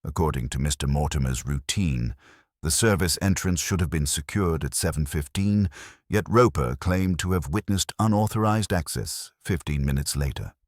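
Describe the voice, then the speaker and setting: A man narrating a scripted detective mystery in a formal, measured storytelling manner. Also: extremely low pitch, darkish tone, no room sound, studio quality.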